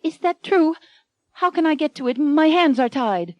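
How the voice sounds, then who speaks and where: A woman voicing a headstrong young heroine, shrill and melodramatic. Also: animated, moderate pace, no echo. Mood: anxious.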